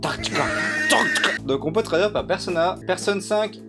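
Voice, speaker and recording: A man's voice: high voice, animated, brisk. A man giving casual, joking and crude gameplay commentary, rambling and often laughing. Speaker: gaming YouTuber. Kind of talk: casual let's-play commentary. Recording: game music playing under the voice. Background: game music.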